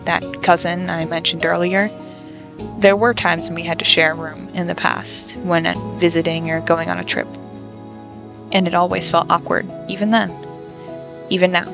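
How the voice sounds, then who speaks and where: A young woman and college student, talking through a personal venting monologue in a reflective, halting, measured way. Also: lowish voice, flat delivery, vocal fry, music in the background. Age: young adult.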